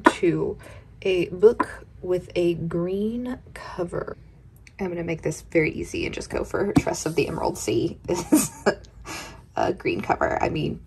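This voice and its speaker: Hoarse woman, young adult, slow pace, casual vlog chat, counts dice aloud. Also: fairly low pitch, darkish tone, slight background noise. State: hoarse, recovering from illness. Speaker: book vlogger. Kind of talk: casual reading wrap-up vlog. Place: home room at night.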